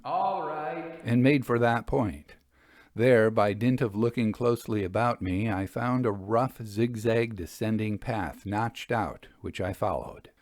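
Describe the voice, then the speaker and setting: A man reading literary narration as a storyteller, with measured, deliberate phrasing. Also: fairly low pitch, a little mellow, no echo. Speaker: storyteller, podcast host.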